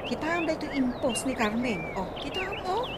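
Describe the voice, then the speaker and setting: A woman playing an excitable wife in a radio comedy drama. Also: high-pitched, fairly quick. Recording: noisy ambience with birds.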